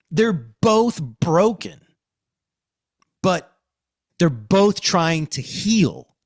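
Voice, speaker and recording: A man with a fairly high voice hosts a podcast discussion. He speaks unhurriedly and emphatically, with very lively intonation, like someone talking through a review. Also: no room sound, clean studio sound. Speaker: podcast host.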